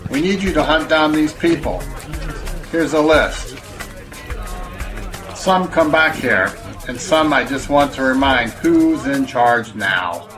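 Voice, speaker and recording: An actor in an audio drama playing an authoritarian government commander with a measured, businesslike manner. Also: male voice, mid-range voice, expressive, music in the background. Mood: stern, businesslike.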